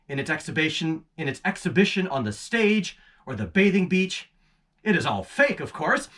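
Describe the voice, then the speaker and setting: A man performing a dramatic literary reading as a senior demon uncle, pompous, scheming and condescending, with deliberate delivery. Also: fairly high pitch, a bit bright, far from the mic, heavily acted. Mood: gloating, scheming.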